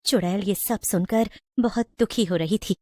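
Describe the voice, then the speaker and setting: A woman's voice: medium pitch, moderate pace, dark tone, no room sound. A woman narrates a scripted moral story. She works as the storyteller, and her voice is recorded very dry and close.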